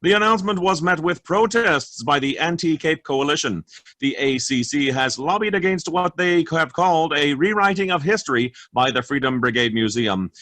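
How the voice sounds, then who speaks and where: An actor in a staged reading playing a TV news anchor with a newscaster cadence who stammers when flustered. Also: male voice, fairly high pitch, sharp tone, dry recording. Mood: newsreading. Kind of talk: virtual staged play reading.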